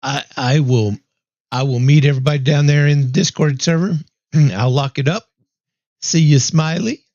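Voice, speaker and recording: Hoarse man hosting a race broadcast. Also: on the high side, moderate pace, darkish tone, no echo. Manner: easygoing, upbeat, rambling with fillers. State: losing his voice, clearing throat. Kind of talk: casual post-race chat. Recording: dry, dull lo-fi mic.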